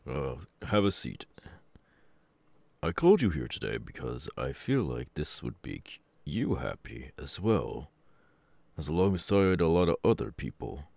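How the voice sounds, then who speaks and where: A man playing a stern, gruff middle-aged superhero mentor and father in an ASMR audio roleplay, with a gravelly, husky voice and a measured, grave manner. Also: a bass voice, sing-song, a little mellow, no echo, heavily acted. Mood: pleased, formal. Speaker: voice actor.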